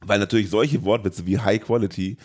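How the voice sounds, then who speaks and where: German guy on a film podcast, talks really fast, kinda low voice, jokey and sarcastic. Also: steady tone, a little mellow. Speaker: podcast co-host. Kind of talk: casual film discussion podcast.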